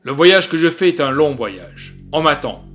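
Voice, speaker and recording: A man acts out a ghostly knight from a literary tale, haughty and menacing. His voice is pitched up and commanding, with formal phrasing, over music. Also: sing-song, normal speed, fairly bright tone. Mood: imperious. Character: haughty spectral knight, a menacing male double. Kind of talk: literary audiobook dialogue.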